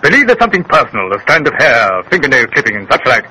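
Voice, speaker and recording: An actor playing a grave, assured colonel in a 1930s radio drama, speaking in a formal, declamatory, lecturing way. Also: male voice, mid-range voice, very animated, quick pace, pushed and bright. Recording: noisy 1930s radio recording.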